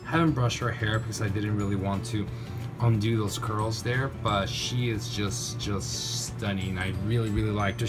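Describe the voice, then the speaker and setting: A man in a casual unboxing review of toys, speaking off camera with gushing enthusiasm. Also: on the low side. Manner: enthusiastic, gushing, lots of "really, really". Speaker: toy reviewer. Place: indoors, tabletop setup. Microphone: off-camera narration, camera on hands.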